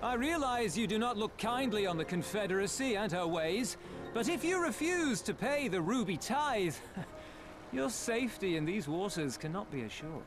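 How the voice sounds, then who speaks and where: A video game pirate officer with a fairly high voice for a man. He speaks with polished mock courtesy and a sardonic, eloquent turn. Music plays clearly behind him. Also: very animated, normal speed. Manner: mock-polite, wry, eloquent.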